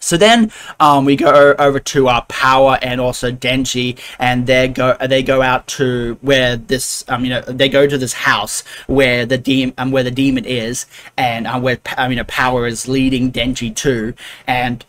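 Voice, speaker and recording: A man's voice: mid-range voice, fairly even intonation, normal speed, a bit bright. A man recapping an anime episode in a casual, rambling way, full of fillers and restarts. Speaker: anime reviewer.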